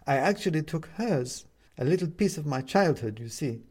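A man's voice: on the high side, lively intonation, normal speed, a little mellow, breathy edge, pitched above the performer's own voice. A man voicing a friendly, slightly embarrassed woman neighbour in an audiobook story. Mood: gentle, slightly embarrassed.

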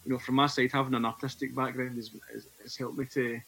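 A Scottish man in his forties speaks as a podcast guest, earnest and reflective as he tells his own story. His pitch sits in an ordinary middle range for a man. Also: level tone, quick pace, creaky, fairly bright tone, a little hiss or hum. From Scotland. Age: 40s. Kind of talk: personal testimony in conversation.

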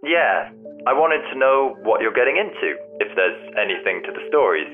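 A man's voice: medium pitch, sing-song, normal speed. An actor playing a caring, bookish man in a naturalistic audio drama, earnest and wry, heard through a telephone filter. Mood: informative, earnest. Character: caring, bookish man missing his departed friend. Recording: tinny phone line, music behind.

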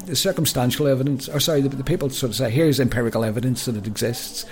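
Chatty man, medium pitch. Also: quick pace. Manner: chatty and jokey, with frequent stammered restarts. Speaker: podcast co-host. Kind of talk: casual chat.

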